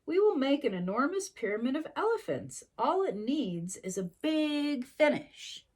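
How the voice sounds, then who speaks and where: A woman's voice: sits high, sing-song, a little slow, darkish tone, pitched above the performer's own voice. A woman voicing a commanding, showy male circus ringmaster in a storybook. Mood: inspired.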